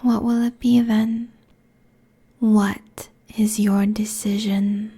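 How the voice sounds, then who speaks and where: A woman voicing a seductive, merciless demon queen in an intimate ASMR roleplay, with a breathy, husky, commanding delivery close to a binaural microphone. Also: medium pitch, flat delivery, mellow. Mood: menacing, seductive. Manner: slow, commanding, sultry, deliberate. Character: seductive, merciless succubus demon queen draining mortal souls.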